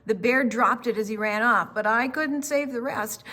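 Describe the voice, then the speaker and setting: A woman reading a children's picture book aloud in an expressive storytime style. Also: on the high side, normal speed.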